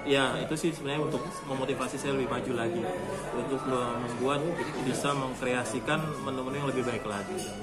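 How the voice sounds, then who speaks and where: A man from Jakarta giving informal interview answers as an executive chef, explaining things with hesitant pauses. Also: medium pitch, steady tone. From Jakarta, Indonesia. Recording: loud music in the background.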